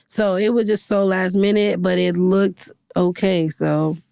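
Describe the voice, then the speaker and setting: A woman in her twenties with a raspy voice, chatting casually as a guest singer in an interview, with lots of fillers. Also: fairly low pitch, a little slow, dry recording, clean studio sound. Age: 20s. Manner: relaxed, filler-heavy, laughs easily.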